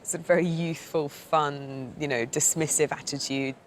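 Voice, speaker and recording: Low-voiced enthusiastic woman judge. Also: steady tone, moderate pace, a little hiss or hum, low-quality recording. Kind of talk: art critique.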